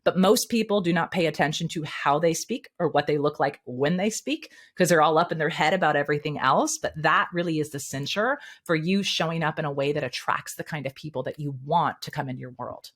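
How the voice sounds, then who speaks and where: A woman speaking coach, a guest on an interview show, giving candid and energetic advice. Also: deep voice, a little fast, hardly any pauses.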